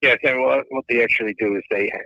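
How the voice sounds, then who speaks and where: A male caller phoning into a podcast, halting and searching for words as he explains. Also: medium pitch, fairly even intonation, creaky, pushed and bright, no room sound. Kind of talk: phone call-in chat. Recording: muffled phone line.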